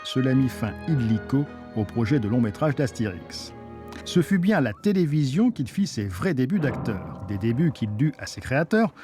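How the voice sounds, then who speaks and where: A man's voice: mid-range voice, a little mellow. A man narrating a documentary from a script.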